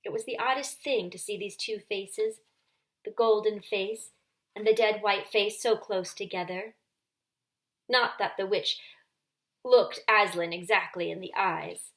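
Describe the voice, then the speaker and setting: A woman storyteller with a mid-pitched voice narrates a children's book. Her reading is expressive, with deliberate and dramatic phrasing.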